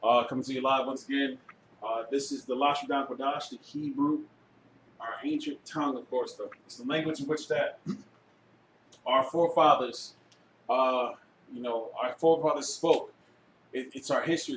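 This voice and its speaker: A man with a mid-pitched voice teaches a class in a conversational, preaching manner. He repeats phrases for emphasis and peppers his talk with tag phrases. The room is echoey, with faint noise behind him. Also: fairly bright tone. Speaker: religious teacher leading a language class. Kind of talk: live informal lesson with exhortation. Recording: roomy echo, faint background noise.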